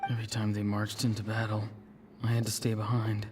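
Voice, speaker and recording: An actor playing an earnest, self-doubting young warrior with a defiant streak in a video game, sounding strained. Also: male voice, lowish voice, monotone, fairly quick, music in the background, close to an everyday voice. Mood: wounded, wistful. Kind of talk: scripted video game dialogue.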